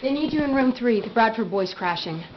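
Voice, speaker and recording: An actress playing a no-nonsense emergency room nurse in a game's medical drama. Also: female voice, a little high, expressive, fairly quick, natural voice. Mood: businesslike. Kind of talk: acted medical drama dialogue. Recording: dull game video, faint noise.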